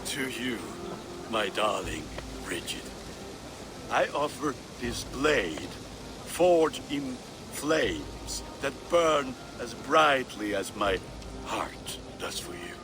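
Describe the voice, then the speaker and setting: An earnest Viking blacksmith, swooping and unhurried. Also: male voice, medium pitch, expressive, background noise. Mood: tender, moved. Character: warm-hearted Viking blacksmith groom, devoted and sentimental. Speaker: video game voice actor. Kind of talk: dramatic game dialogue acting.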